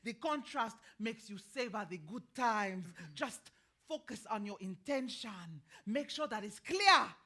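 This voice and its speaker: An actress with an American accent playing a chatty, nosy older gardener in a staged play reading, meandering and prodding. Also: female voice, on the high side, very animated, a little slow, pushed and bright, full character voice. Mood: wise, reflective. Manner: folksy, meandering, prodding with questioning hums.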